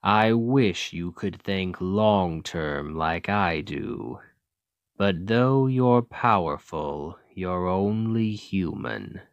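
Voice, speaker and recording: A man playing an ancient Demon King, a coldly calculating tyrant who talks in a measured, condescending, menacing way in an ASMR roleplay. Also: deep voice, animated, no echo, dull audio, full character voice.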